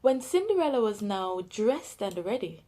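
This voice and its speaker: A woman with a Jamaican accent, reading a children's story aloud with careful, deliberate enunciation. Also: medium pitch, sing-song, dark tone.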